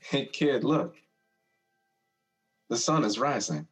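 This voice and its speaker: A man's voice: medium pitch, very animated, takes it slowly. An actor playing an encouraging, poetic older brother in an audio drama, coaxing as he speaks. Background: music.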